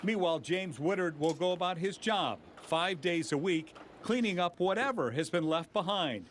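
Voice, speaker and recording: Man, American accent, TV news reporter, fairly high pitch, scripted narration, noticeable background noise. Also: animated, moderate pace.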